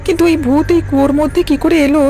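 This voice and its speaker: A woman's voice: high voice, steady tone, rapid-fire, warm tone, natural voice. A woman voicing a timid, anxious young boy in a cartoon story. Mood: puzzled. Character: timid young boy visiting his grandfather. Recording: music under the voice.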